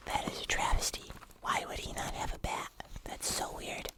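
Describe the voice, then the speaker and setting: An ASMR host talking to viewers in a soft, unvoiced whisper, chatty, casual and unhurried, close to the microphone. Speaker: host of an ASMR eating video.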